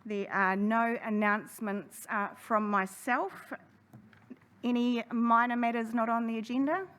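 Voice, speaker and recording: A woman chairing a council hearing, running through procedure in a brisk, friendly way. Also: fairly high pitch, steady tone, a bit bright, smooth. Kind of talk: formal meeting chairing. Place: council chamber.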